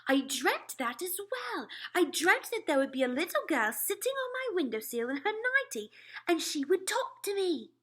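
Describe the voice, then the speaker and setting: Woman voicing a high-pitched, imperious storybook queen. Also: sing-song, normal speed, full character voice. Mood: sharp, excited. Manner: exclaiming, sharp commands mixed with hushed murmurs. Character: queen, poised, imperious, astonished older royal lady. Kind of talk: storybook read-aloud.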